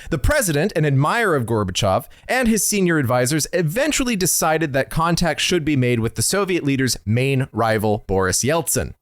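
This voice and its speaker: A man's voice: fairly high pitch, sing-song, brisk, hardly any pauses, no echo. A man hosting a podcast, talking casually through political history and reading passages aloud. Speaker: podcast host.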